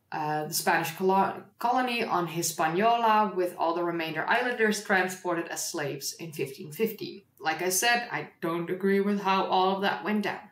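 A woman's voice: mid-range voice, fairly bright tone, echoey room. A Dutch-born woman hosting a history livestream, chatting casually and reading an article aloud with rambling asides and self-corrections. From Netherlands.

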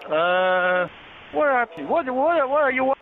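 A man's voice: extremely high pitch, expressive, takes it slowly, phone-quality sound, exaggerated voice. A man playing a confused prank caller who speaks in broken English and keeps repeating himself. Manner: repetitive, broken English, erratic. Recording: noisy prank call line.

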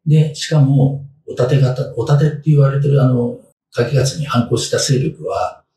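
A man in his sixties explaining as a guest expert in an informal interview, in halting fragments full of fillers. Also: medium pitch, mellow. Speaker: guest expert on samurai history. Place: small indoor room. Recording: roomy, distant mic, background guitar music. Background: guitar music.

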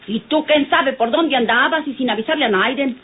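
A woman's voice: high voice, a little fast, close to an everyday voice. An actress playing a touchy, nosy, folksy working-class Mexican woman who scolds, in an old radio drama. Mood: indignant scolding. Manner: quarrelsome, folksy speech. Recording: tinny, noisy vintage radio recording.

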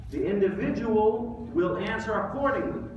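A man in his thirties giving an Islamic sermon in an emphatic, deliberate way, with rhetorical questions and pauses. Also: sits high, expressive, muffled recording. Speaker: preacher giving an Islamic lecture. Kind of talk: sermon with quoted Arabic recitation. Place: large mosque prayer hall, indoors. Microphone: distant camera or phone. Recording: echoey hall from far off, faint noise.

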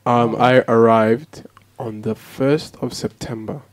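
A young woman in casual banter as a talk show guest, animated and playful. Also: very deep voice, slow pace, no echo. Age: young adult.

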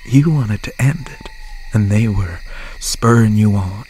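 A man playing an obsessed, bitter young serial killer boyfriend in an ASMR roleplay, speaking with breathy, half-whispered menace and pauses. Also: lowish voice, slight background noise, lightly acted. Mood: wounded, obsessive. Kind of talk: ASMR roleplay, dramatic monologue. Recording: steady nighttime ambience over the voice. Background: crickets, insects and owl night sounds.

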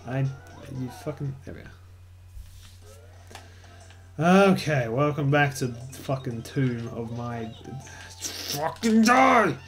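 A young man with an Australian accent rambles through casual gameplay commentary. He swears often and pokes fun at himself. His pitch swings widely as he talks. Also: expressive, slow pace, long pauses, fairly bright tone, faint music behind. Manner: rambling, swears a lot, self-mocking. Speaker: let's play host.

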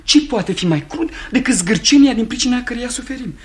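An actor playing a lovestruck, impetuous young gentleman in an old radio play, ardent and declamatory. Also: extremely high pitch, expressive. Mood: aggrieved, resolute.

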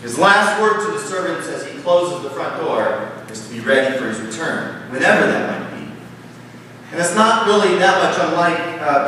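A man's voice: on the high side, pushed and bright, slight background noise. A pastor in his thirties giving a sermon at a pulpit, conversational and emphatic, with rising lists and gestures. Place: large church sanctuary, at a pulpit. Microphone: pulpit mic, filmed from far camera. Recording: echoey church hall from far off.

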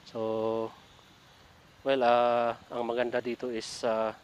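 A man's voice: mid-range voice, monotone, takes it slowly, clear, resonant tone. A middle-aged man with a Filipino accent talks casually outdoors for a vlog, reading out book titles with frequent fillers while the camera films him from a few meters away. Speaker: vlogger sorting donated library books. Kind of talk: casual vlog talk mixing English and Tagalog. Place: outdoors beside a building.

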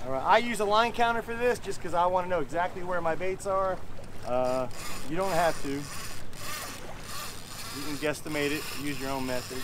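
A man in his forties casually showing how he rigs and sets fishing lines, relaxed and folksy, recorded on an open boat. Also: fairly high pitch, normal speed. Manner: relaxed, folksy, explains while working the rods. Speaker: fishing channel host. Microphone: action camera mounted on the boat. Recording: noisy outdoor boat recording. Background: loud wind, engine and water noise.

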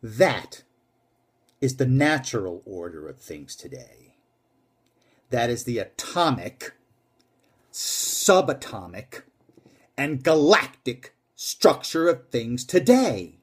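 A man performing a commanding male corporate tycoon, moving from booming shouts to hushed whispers, with a husky voice. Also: sing-song, slow pace, long pauses, heavily acted. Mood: thundering. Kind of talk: dramatic monologue recitation.

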